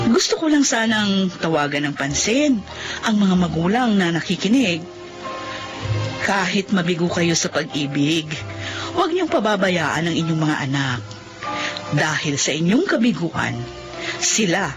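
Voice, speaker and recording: A woman playing a middle-aged single mother in a radio drama, telling her story in a heartfelt, pleading way. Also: fairly low pitch. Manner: heartfelt, pleading, addresses listeners. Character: struggling single mother, emotional then content. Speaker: narrator of her own story. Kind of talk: Filipino radio drama acting.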